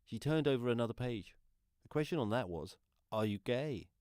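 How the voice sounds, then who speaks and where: Radio play monologue: male actor as earnest deaf man, plain-spoken storyteller, dark soft tone, very lively melody. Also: medium pitch, normal speed, dry recording, studio quality, close to an everyday voice. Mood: earnest, hurt. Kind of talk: first-person radio drama monologue.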